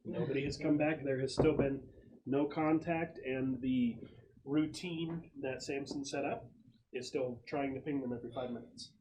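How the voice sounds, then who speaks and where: A man's voice: medium pitch, steady tone, normal speed, dark tone, slight background noise, low-quality recording. A man narrating a tabletop game casually as game master.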